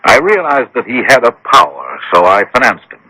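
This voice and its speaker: Radio drama, anxious insistent gentleman, highly animated pitch, raspy edge, defensive. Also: moderate pace, dry recording, full character voice, rough recording. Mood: earnest. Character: wealthy, anxious, defensive man obsessed with the occult. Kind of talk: 1980s radio drama acting. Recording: old radio drama broadcast.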